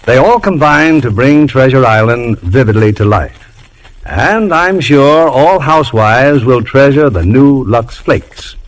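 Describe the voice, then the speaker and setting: A man's voice: medium pitch, lively intonation, unhurried, low-quality recording. A man hosting an old radio show, announcing from a script in a formal, polished 1950s style.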